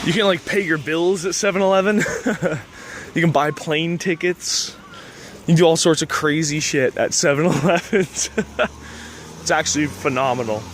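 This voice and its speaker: A man's voice: on the high side, normal speed. Rambling young man on a noisy street. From Canada. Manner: rambling, laughs often, lots of "like". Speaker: vlogger. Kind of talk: casual walking vlog. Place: city street outdoors. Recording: outdoor street noise. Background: street traffic.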